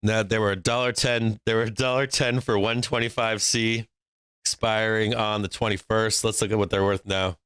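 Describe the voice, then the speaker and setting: Boston guy calling trades live, low smooth voice, joking around, dry close mic. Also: flat delivery, normal speed, fairly bright tone, no echo. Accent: faint Boston. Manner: brash, joking, laughs often. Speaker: stock market livestream host. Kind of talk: live trading commentary.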